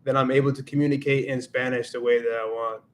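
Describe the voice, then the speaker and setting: A man of middle pitch hosts a laid-back livestream, reflecting out loud as he goes. He slips into Spanish here and there, with a faint hum behind him. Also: flat delivery, fast talker. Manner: reflective, thinks aloud, switches into Spanish now and then. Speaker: livestream host and Spanish learner. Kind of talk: casual livestream chat.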